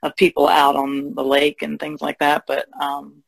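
A woman's voice: deep voice, completely flat delivery, normal speed, gravelly, no echo, rough recording. A woman speaking casually and informally as a panelist in a podcast discussion.

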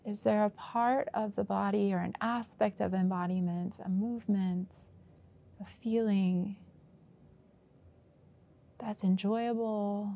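A woman leads a guided meditation at a very slow pace. Her voice is mellow and relaxed, with a creaky fry in it. She gives gentle prompts and leaves long pauses between phrases. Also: medium pitch, lo-fi sound. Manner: slow, pausing, unhurried delivery with gentle prompts. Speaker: meditation teacher.

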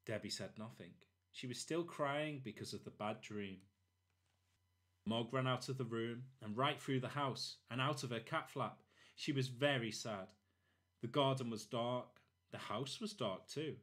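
A man narrating a children's storybook expressively, in short, deliberate phrases. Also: medium pitch, a bit bright. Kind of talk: expressive children's read-aloud.

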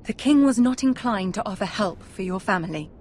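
An actress playing a poised, cautious young noblewoman in a fantasy video game, measured and formal in manner. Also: medium pitch, animated, darkish tone, a little hiss or hum, natural voice. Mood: cool, weary. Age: young adult. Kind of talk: dramatic video game acting.

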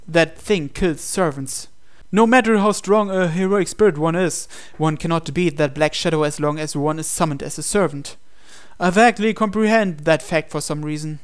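A man's voice: fairly high pitch, normal speed, clean tone. A man reading a visual novel aloud as a game player, in dramatic storytelling narration.

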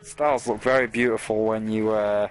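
A man's voice: on the low side, steady tone, normal speed, smooth, soft background music. A man hosting a let's play, rambling casually and swearing as he talks through the game and to his chat. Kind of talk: casual gameplay commentary.

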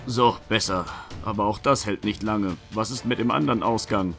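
A man voicing a steady, courteous, protective knight in a fantasy audio drama. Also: lowish voice, normal speed, soft background music. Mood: worried, steady. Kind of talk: fan-made fantasy audio drama acting.

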